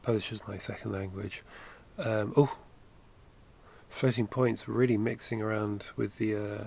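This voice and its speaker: A man's voice: lowish voice, steady tone, a little slow, gravelly, a little mellow. A man in his thirties chatting in a rambling, self-correcting way while drawing on a livestream, recorded on a headset at home. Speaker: animation streamer. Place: home studio, indoors.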